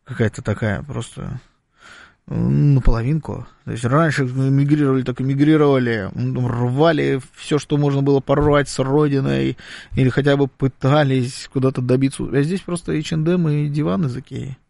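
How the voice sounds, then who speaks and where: A male radio host reads out listener messages and comments on them in a chatty, ironic tone. His voice has a gravelly fry and sits at an ordinary pitch. The sound is dry and close to the mic. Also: steady tone, normal speed, darkish tone, dry recording. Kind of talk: radio talk show hosting, reading listener messages.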